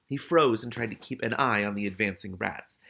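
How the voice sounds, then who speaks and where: A man's voice: lowish voice, brisk, vocal fry, pushed and bright. A man reading a novel aloud expressively, with casual, candid asides of his own.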